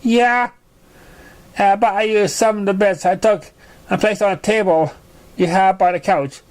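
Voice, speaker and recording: Anxious little filly, played by a male reader, pitched up, sorry and pleading, for a storybook. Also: steady tone, measured pace, a little mellow. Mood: confessing, sheepish. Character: anxious, apologetic young girl pony.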